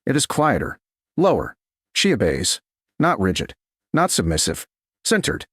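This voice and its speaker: A man narrating a scripted romance story in a measured, deliberate way, with short dramatic phrases. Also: medium pitch, huge pitch swings, a bit bright, slightly rough, no room sound, clean studio sound.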